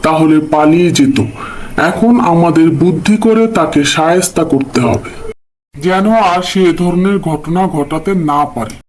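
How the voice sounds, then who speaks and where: Male actor as resolute cartoon crow, clever, earnest, explanatory, high voice, faint background noise. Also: moderate pace, lightly acted, poor audio. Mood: grave, confiding. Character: clever, resolute crow leading the forest birds. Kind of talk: Bengali animal fable cartoon dubbing.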